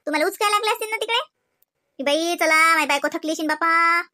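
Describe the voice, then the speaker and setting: A woman's voice: very high-pitched, fast, bright, forceful tone. A woman voicing fussy, playful housewives in a Marathi comedy cartoon, with a Varhadi accent and exclamatory, chatty delivery. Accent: Varhadi Marathi from Vidarbha.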